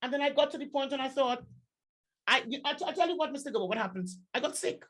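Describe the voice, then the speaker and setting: Lady hosting a podcast, kinda high voice, excitable and emphatic, chatty, says stuff over and over. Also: quick pace. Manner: emphatic, excitable, repeats words, cuts in. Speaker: podcast host. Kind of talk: casual interview chat.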